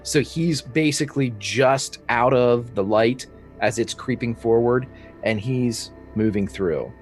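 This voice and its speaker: A man's voice: medium pitch, slow pace, soft background music. A man playing a tabletop game, casually chatting and narrating his character's actions in a playful, colloquial way.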